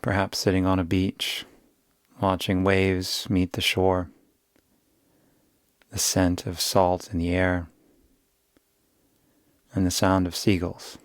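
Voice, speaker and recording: A man guiding a yoga nidra meditation in a soothing, unhurried way, giving gentle instructions with long pauses. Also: low-pitched, no echo, studio quality. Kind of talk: guided meditation.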